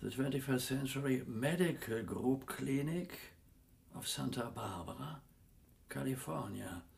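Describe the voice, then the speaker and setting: A man's voice: medium pitch, unhurried, creaky. An actor playing a wry, bookish American man with a dry, morbid humour in a German radio drama. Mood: dryly wry. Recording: very noisy 1980s radio drama recording.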